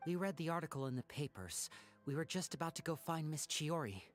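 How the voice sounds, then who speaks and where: A woman voicing a calm, polite young stage performer in a video game, measured and courteous. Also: sits low, faint music behind.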